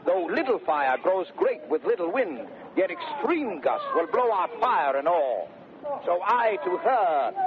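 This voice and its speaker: An actor playing a brash, boastful young gentleman suitor in 1930s radio Shakespeare, declaiming theatrically with a British accent. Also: sits high, heavily acted. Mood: boisterous, exultant. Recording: muffled, noisy vintage radio broadcast.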